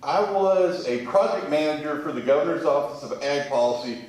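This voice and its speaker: A man's voice: on the high side, a little fast, darkish tone. A man from Kentucky, speaking conversationally as an interview guest. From Kentucky, United States. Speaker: interview guest, museum sales manager. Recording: very echoey room, distant mic.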